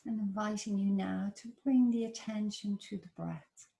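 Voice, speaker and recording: A woman with an Irish accent leading a guided body scan meditation in a slow, soft, soothing manner. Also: medium pitch, steady tone, creaky. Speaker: meditation teacher.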